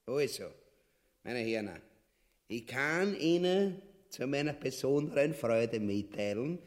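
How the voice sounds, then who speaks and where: An actor playing a pompous, crude, irascible tyrant in a farcical radio play, mixing grand speech with coarse abuse in an Austrian accent. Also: male voice, medium pitch, expressive, takes it slowly. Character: pompous, crude, irascible tyrant presiding over his ministers. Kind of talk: theatrical farce radio acting.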